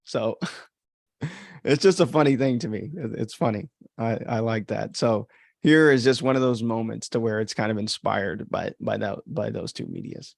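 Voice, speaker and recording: A man's voice: fairly low pitch, normal speed, gravelly, no echo, studio quality. A man from the United States giving casual, opinionated, rambling livestream commentary as a podcast host. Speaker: podcast host and author commenting on own story.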